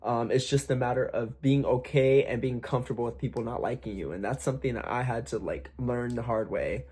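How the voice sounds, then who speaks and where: A young man chatting candidly to camera about his singing plans, talking in a deliberately raised, slightly forced voice, swearing freely and using lots of fillers. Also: mid-range voice, darkish tone, husky. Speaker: aspiring singer vlogger. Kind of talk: casual talk to camera.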